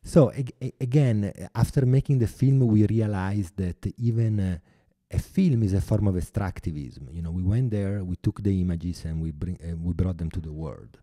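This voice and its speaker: A man in his forties with an Italian accent, speaking as a podcast guest in a thoughtful way, pausing often and restarting phrases. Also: low-pitched, warm tone, no room sound, studio quality. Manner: thoughtful, many hesitations and restarts. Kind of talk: podcast interview.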